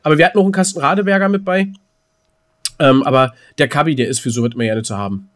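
A man's voice: a little high, very fast. A man chatting casually as a podcast co-host, in a joking, rambling manner. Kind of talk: casual chat.

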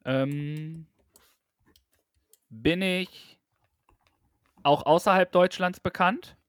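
Slow-talking man with a dry sound. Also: a little high, no room sound. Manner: slow, teasing, laughs often. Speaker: podcast co-host. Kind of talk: casual guessing game chat.

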